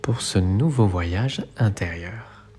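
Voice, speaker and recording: A man with a low, mellow voice guides a relaxation meditation. He speaks very slowly, in soothing, measured instructions. Soft music plays faintly behind him.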